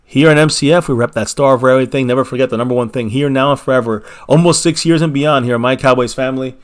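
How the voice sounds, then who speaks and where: A man's voice: medium pitch, fast. A man hosting a casual fan livestream from a small home bar, thanking viewers by name and repeating his catchphrases with hype. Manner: hyped, repeats catchphrases, calls viewers baby. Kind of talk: casual livestream sign-off with shout-outs.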